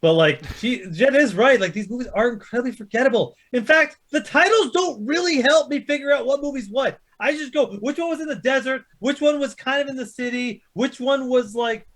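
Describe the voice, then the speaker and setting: A man's voice: very high voice, lively intonation, brisk, few pauses, a bit bright. A man co-hosting a casual chat, blunt and sarcastic, swearing as he argues. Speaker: co-host. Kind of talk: casual chat, bantering argument.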